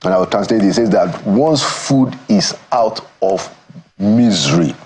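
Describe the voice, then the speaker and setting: Husky low-voiced Nigerian man. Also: animated, darkish tone. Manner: measured, thoughtful, stops to find words. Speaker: studio guest, former government aide. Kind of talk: morning TV interview. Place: TV studio.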